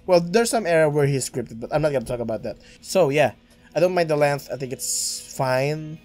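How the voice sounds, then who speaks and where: A man's voice: on the high side, animated, normal speed, darkish tone, soft background music. A young man with a Filipino accent, chatting casually as a gaming streamer, rambling with many restarts and stammers. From Philippines. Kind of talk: casual let's-play commentary. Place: small room at home, green screen. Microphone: headset.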